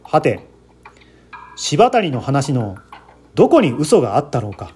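A man's voice: mid-range voice, wildly swooping pitch, unhurried, a little mellow, breathy edge. A man reading scripted mystery fiction aloud as a narrator, in the manner of an amateur radio-drama performer.

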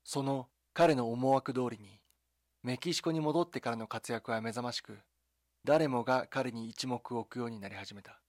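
A man delivering scripted dramatic documentary narration in a measured, solemn storytelling manner, with short deliberate phrases. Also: medium pitch, dry recording, studio quality. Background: background music.

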